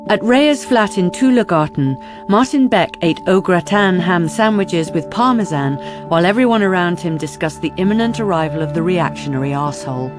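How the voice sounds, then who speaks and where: A man narrating a crime radio drama as a wry, measured storyteller. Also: high voice, expressive. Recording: music behind the narration.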